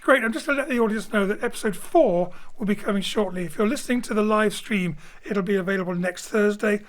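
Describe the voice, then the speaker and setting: A man's voice: sits high, fast. A man signs off a live-streamed audio drama, thanking the cast and crew. He sounds upbeat and grateful, rattling through announcements into a home studio mic. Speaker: producer closing the show.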